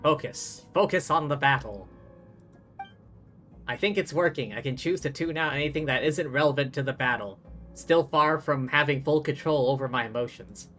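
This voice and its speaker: A man's voice: fairly high pitch, moderate pace, fairly bright tone, faint music behind. A man streaming a video game live, commenting and reading dialogue aloud.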